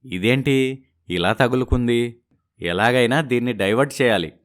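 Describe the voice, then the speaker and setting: An actor playing a smooth-talking, evasive young husband in a story, placating and persuasive, dropping in English words. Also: male voice, mid-range voice, normal speed, natural voice. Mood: scheming.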